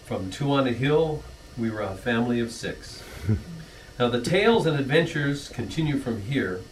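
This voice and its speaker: A middle-aged man reading his own rhyming anniversary poem in a church hall, earnest, with small stumbles and soft laughs. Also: mid-range voice, lively intonation, moderate pace, distant mic, a little hiss or hum.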